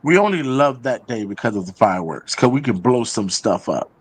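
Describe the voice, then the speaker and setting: Husky, animated American man. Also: mid-range voice, fairly quick. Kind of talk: casual opinionated group discussion.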